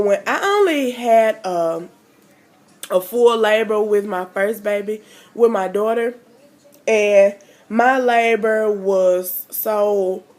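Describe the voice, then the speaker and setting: A woman, chatting casually to camera in a rambling way, nasal and stuffed up from a cold. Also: medium pitch. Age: 20s. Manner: rambling, chatty, lots of fillers. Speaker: vlogger.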